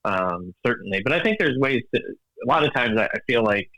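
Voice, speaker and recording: A man's voice: mid-range voice, expressive, a little fast, sharp tone, no room sound, rough recording. A man talking as a guest author in a casual interview, thoughtful and hesitant, with many fillers and restarts and frequent laughs. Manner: calls himself an engineer, evidence-minded.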